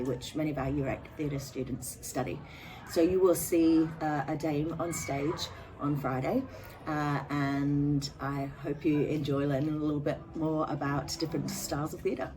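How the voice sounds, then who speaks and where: A woman, a drama teacher, explaining things clearly in an interview. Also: sits low, a little mellow. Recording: distant mic with background noise.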